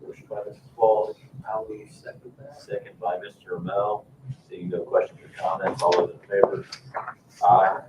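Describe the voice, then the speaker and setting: Male council president, very low voice, running formal procedure; echoey room, noisy recording. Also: little pitch movement, moderate pace. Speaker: council president chairing meeting. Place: indoor town council meeting room. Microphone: distant room microphone. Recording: muffled, echoey, distant mic with clear noise.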